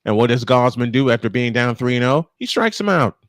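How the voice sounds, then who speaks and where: A man's voice: mid-range voice, sing-song, brisk, no room sound. Dry-miked man calling baseball play-by-play. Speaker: play-by-play commentator and host. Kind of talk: baseball commentary mixed with casual banter.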